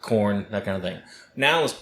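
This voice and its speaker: A man hosts a podcast. He sounds upbeat and chatty, full of fillers and quick restarts. Faint room noise runs behind him. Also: fairly low pitch, animated, a bit bright. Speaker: podcast host. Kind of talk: casual podcast hosting.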